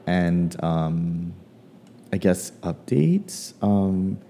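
Man, casual musing, deep mellow voice, soft guitar behind; lots of ums, unhurried. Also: lively intonation, a little hiss or hum. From Hawaii. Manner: hesitant, many ums and pauses. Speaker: playwright. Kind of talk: casual personal reflection.

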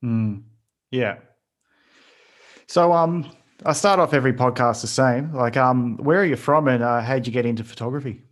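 A man's voice: mid-range voice, moderate pace. A man hosting a podcast, asking casual interview questions in an informal, slightly hesitant way.

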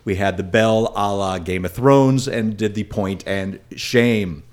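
A man's voice: on the low side, unhurried. A man co-hosting a podcast, casually explaining things to the listeners. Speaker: podcast co-host.

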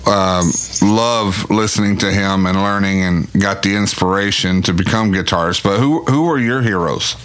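Deep-voiced guy in his 40s hosting a podcast, folksy, low and easygoing. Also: normal speed, hardly any pauses, fairly bright tone. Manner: folksy, faith-focused, repeats words. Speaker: podcast host. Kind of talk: casual interview.

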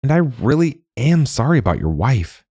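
A man voicing a talkative, persistent young woman who teases and chatters quickly. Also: mid-range voice, sing-song, warm tone, breathy edge, no echo, clean studio sound, pitched above the performer's own voice. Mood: earnest. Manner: talkative, teasing, rapid.

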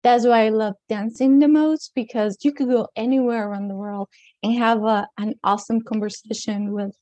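A woman from Mexico with a Latin American Spanish accent, talking as an interview guest in a casual way. Her fairly high voice is mellow and soft-edged, and she often pauses to find words and laughs between phrases. The recording is dry and close. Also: steady tone, no room sound. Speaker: guest, dance teacher.

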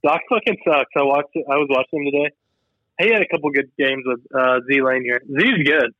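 A young man drifts through long, loose stories, swearing offhandedly as he goes. He sits at a middle pitch. His voice comes over a remote call line that sounds dull and lo-fi, with no room sound. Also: brisk, no echo. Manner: rambling, meandering, swears casually. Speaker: podcast co-host. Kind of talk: casual chat.

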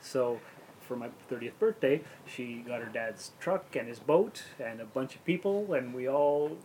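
A man's voice: mid-range voice, animated, a little slow, a little mellow, rough recording. A man in his forties hosting a casual podcast chat with friends. He tells stories and laughs often. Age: 40s. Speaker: podcast host.

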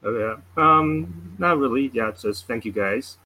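A man's voice: medium pitch, animated, a little slow, sharp tone, rough recording. A man from Taiwan calling in to a show, talking casually with many fillers and hesitations. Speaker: caller. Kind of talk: casual call-in chat.

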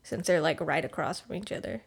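A woman's voice: low-pitched, fairly quick, creaky, husky. A woman co-hosting a podcast, telling corny puns in casual chat and giggling at her own jokes. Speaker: podcast co-host.

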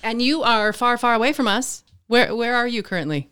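A woman's voice: on the high side, a little fast, fairly bright tone, clean tone, no room sound, clean studio sound. An American woman co-hosting a casual interview, chatty and enthusiastic. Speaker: co-host.

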